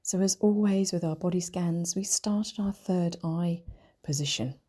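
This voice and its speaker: A woman leading a guided meditation in a soft, breathy, whispery voice, speaking gently and soothingly with long, deliberate pauses. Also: fairly low pitch. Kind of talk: guided meditation, body scan.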